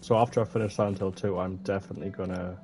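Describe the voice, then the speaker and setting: A man's voice: low-pitched, steady tone, racing, background noise. A young man who is a little ill, commentating a horror game on a headset and reading the in-game notes aloud. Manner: reads in-game notes aloud, muttering asides. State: slightly ill. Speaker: gaming streamer. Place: indoor room at a desk.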